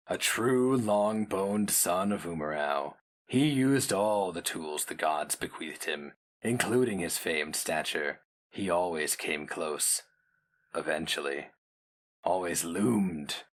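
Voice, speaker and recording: A man giving a dramatic reading of a dark fantasy story, grave and theatrical, in measured phrases. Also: sits low. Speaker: narrator.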